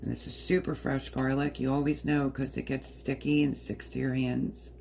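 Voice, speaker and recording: A woman with a hoarse voice casually explaining a recipe step by step in her home kitchen. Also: very deep voice, flat delivery, moderate pace, dark tone, a little hiss or hum. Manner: chatty, explains step by step while working. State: hoarse, partly lost voice. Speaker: home cook. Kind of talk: casual cooking demonstration.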